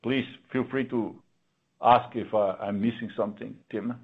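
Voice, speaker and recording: A man speaking as a company executive, answering questions off the cuff on a conference call, repeating words haltingly yet sounding emphatic and assured. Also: lowish voice, fairly even intonation, breathy edge. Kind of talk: unscripted earnings call answers. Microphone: conference call line. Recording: narrow, tinny phone-line sound.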